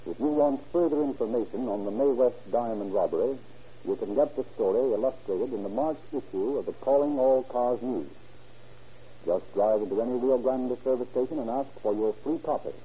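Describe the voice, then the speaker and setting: Old radio show narrator guy, formal and declamatory, dark mellow voice, dull hissy recording. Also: mid-range voice, normal speed. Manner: formal, declamatory announcer delivery. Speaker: narrator and announcer. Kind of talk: 1930s radio dramatized narration and sponsor pitch. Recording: muffled, noisy 1930s radio recording.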